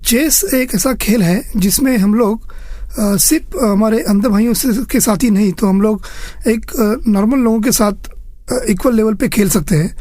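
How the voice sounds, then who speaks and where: A male interview guest explains things in a mellow, relaxed tone. The recording is dry, with no room sound. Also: high voice, no echo. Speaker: interview guest, chess federation official.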